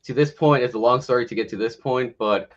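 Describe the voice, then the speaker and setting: A man's voice: medium pitch, fairly quick, lo-fi sound. A man in his late thirties from Mexico tells his life story as a guest on a morning livestream, speaking frankly and poking fun at himself. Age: 30s. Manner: candid, self-mocking storyteller who laughs often. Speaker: guest health coach.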